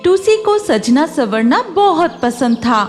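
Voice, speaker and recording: A woman narrates a children's story in a high, lively voice. She takes it slowly, and music plays behind her.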